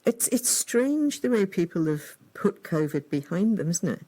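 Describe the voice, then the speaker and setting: A woman's voice: fairly low pitch, big pitch swings, measured pace, dark tone. A woman hosting a radio interview, conversational and chiming in with agreeing remarks.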